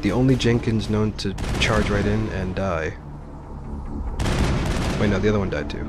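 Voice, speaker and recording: Low-voiced man casually commentating a game. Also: moderate pace. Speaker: let's play host. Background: game music and sound.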